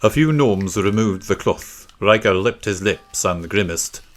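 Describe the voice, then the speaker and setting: A man's voice: fairly low pitch, lively intonation, a little slow. A man narrating a children's story from a script. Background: faint music.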